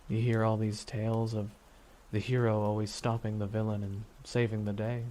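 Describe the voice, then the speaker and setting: A man acting a weary, regretful supervillain in an ASMR audio roleplay, speaking slowly and reflectively with pauses. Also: on the low side, flat delivery, mellow, a little hiss or hum, close to an everyday voice. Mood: somber, wry. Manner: slow, reflective, pausing delivery. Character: weary, regretful male supervillain beside his dying nemesis.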